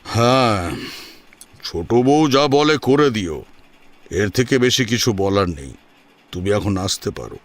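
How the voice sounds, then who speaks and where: A man's voice: fairly low pitch, expressive, takes it slowly, pauses often, pitched below the performer's own voice. A man playing a stern, aloof father-in-law in an audio story, speaking in cold, curt commands. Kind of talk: Bengali audio story acting.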